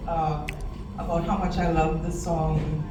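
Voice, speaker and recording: A woman's voice: low voice, flat delivery, measured pace. A woman author answering questions in a festival interview, effusive and laughing as she talks. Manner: effusive, warm thanks, laughing. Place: event venue, large room. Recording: roomy echo, distant, noisy.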